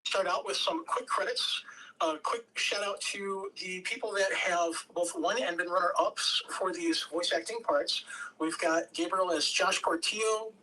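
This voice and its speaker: Male table-read host, high bright voice, casual coaching delivery, distant mic. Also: unhurried. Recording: distant mic with faint background noise.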